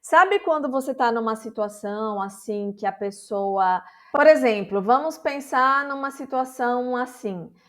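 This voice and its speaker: A woman with a Brazilian accent talks her viewers through a language lesson. Her voice sits slightly high, and she explains patiently, checking in often to make sure they follow. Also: measured pace, hardly any pauses, fairly bright tone. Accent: Brazilian Portuguese. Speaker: Portuguese teacher.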